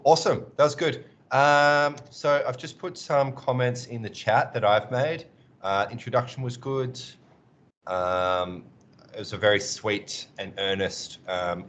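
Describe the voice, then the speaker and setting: A man teaching an online class, giving encouraging feedback on a student presentation with many pauses and ums over a video call. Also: lowish voice, fairly bright tone, dull audio.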